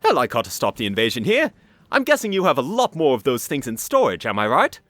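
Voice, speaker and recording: Manic, teasing adventurer stallion, acted for a fan audio story: cocky, hugely varied pitch, light music underneath. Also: wildly swooping pitch, fast, a bit bright. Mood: brisk, urgent. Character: cocky, flippant, manic male alien-hunting adventurer stallion.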